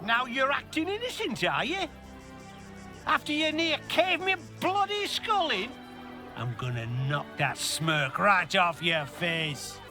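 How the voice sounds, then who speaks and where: An actor voicing an angry, foul-mouthed townsman, gruff and indignant. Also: very high voice, huge pitch swings, slow, sharp tone, heavily acted. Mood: furious. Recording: game audio with music underneath.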